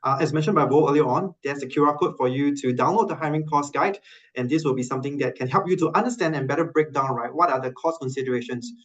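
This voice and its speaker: A man's voice: medium pitch, fairly bright tone, highs cut off. A man moderating a webinar, thanking speakers and introducing the next guest. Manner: brisk, friendly introductions. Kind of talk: hosting a webinar.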